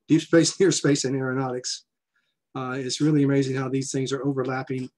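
Older man hosting a video call symposium. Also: medium pitch. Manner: loose, joking, with filler words. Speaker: society president hosting a symposium. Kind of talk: casual conference welcome and introduction. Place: home room, indoors.

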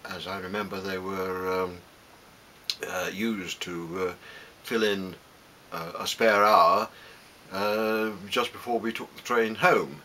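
Slow, low-voiced older British man. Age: 70s. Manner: halting, hesitant, with many pauses. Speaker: interviewee. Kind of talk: reminiscing in an interview. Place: small book-lined room at home. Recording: steady background hiss.

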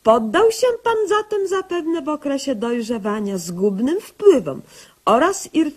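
A woman's voice: sits high, big pitch swings, measured pace, a little mellow. An actress playing a self-important young woman doctor who narrates her own cases in a pompous, official way in a radio comedy sketch. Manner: pompous, bureaucratic, mock-official.

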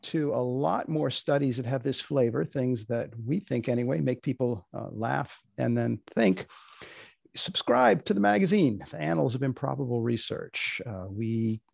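Creaky, mellow deadpan male host. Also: medium pitch, moderate pace, no room sound, clean studio sound. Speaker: host, moderator. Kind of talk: deadpan hosting and closing remarks. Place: indoors on a video call.

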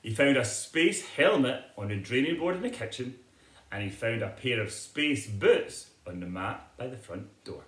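A middle-aged man reading a picture book aloud to children in an expressive, deliberate storytelling manner. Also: mid-range voice, roomy sound. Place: living room at home, indoors.

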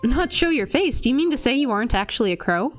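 A woman's voice: high voice, lively intonation, fast talker. An American woman from Florida chatting casually on a game live stream, playful and self-deprecating. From Florida, United States. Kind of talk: casual live-stream chat while gaming. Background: video game music.

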